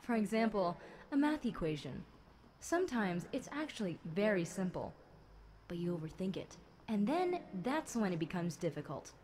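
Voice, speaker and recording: A woman's voice: mid-range voice, sing-song, darkish tone, close to an everyday voice. A woman playing a chatty, centuries-old fallen angel demon girl, talking wryly and conversationally to one listener in an audio roleplay. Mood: matter-of-fact explaining. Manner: conversational, wry, unhurried, dramatic musing. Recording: clear background noise.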